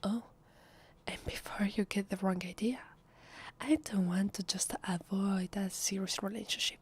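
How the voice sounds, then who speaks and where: An awkward, shy female vampire passing as human , played by a woman in an ASMR roleplay. She has an Italian accent and a soft, breathy half-whisper. Her delivery is slow and hesitant, with a flustered stammer. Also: mid-range voice, steady tone. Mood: guarded, wistful. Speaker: voice actress.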